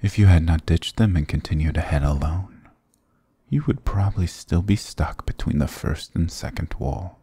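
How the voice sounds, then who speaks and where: A man's voice: moderate pace, warm tone, no echo. A man voicing a dominant, sarcastic demon king in an ASMR roleplay, teasing and menacing, close to the mic. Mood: smug, condescending. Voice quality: very deep, gravelly, husky. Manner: sarcastic, teasing, menacing purr with low chuckles. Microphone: close, dry microphone.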